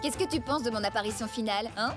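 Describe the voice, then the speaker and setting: Cartoon sister: actress as cheeky young ranch girl, high voice, spirited, very loud music behind. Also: fast, lightly acted. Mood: teasing. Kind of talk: French cartoon dub acting.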